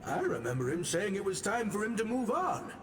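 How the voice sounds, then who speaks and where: A man's voice: high voice, big pitch swings, fairly quick, music in the background. A man playing a friendly, curious male spirit in a video game.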